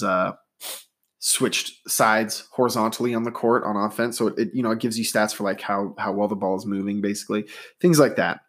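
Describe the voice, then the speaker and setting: A man hosting a solo sports podcast, talking in a rambling, conversational way with a stuffy nose. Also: mid-range voice. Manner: conversational, rambling, data-minded. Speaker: podcast host.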